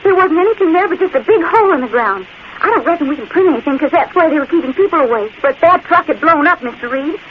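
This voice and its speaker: Through a noisy, dull old radio recording comes a plucky young newspaperwoman. She has a high voice and a Southern US accent, coy and folksy, all mock innocence in 1940s drama style. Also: expressive, fast talker. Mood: coy, eager. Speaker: newspaper reporter.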